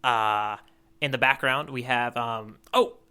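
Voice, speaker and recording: A man's voice: medium pitch, slow pace, bright, forceful tone, clear, resonant tone. A man co-hosting a casual podcast about manga, chatting in a playful, bantering way. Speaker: podcast co-host.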